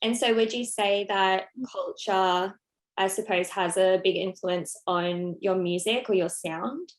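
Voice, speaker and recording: A woman interviewer asking relaxed, friendly questions with hesitant fillers. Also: medium pitch, a little slow.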